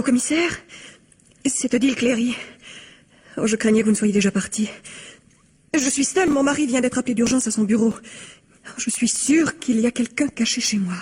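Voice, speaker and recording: An actress playing a dramatic wife in an old-fashioned radio mystery, with a breathy, theatrical way of talking. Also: female voice, on the high side, darkish tone. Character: dramatic young wife, frightened then bitterly scornful.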